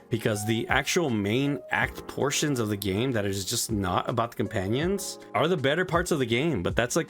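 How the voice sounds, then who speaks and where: Man ranting in a game review. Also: medium pitch. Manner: frustrated ranting, frequent swearing.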